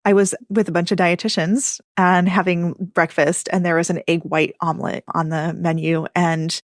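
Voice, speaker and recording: A woman's voice: lowish voice, level tone, normal speed, dry recording, studio quality. A woman hosting a nutrition podcast, asking her guest questions in a curious, friendly way. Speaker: podcast host, dietitian. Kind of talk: interview questions, casual chat.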